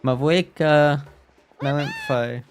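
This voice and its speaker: A man's voice: fairly high pitch, very slow, faint music behind. A man livestreaming a game with casual, playful, sing-song asides. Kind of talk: casual gameplay commentary.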